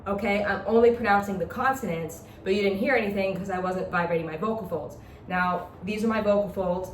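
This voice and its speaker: A woman's voice: mid-range voice, a little fast. Echoey, distant woman giving an informal lesson. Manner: step-by-step, demonstrative explaining. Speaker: instructor demonstrating a talk box. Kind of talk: informal explanatory lecture. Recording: echoey, distant mic with background noise.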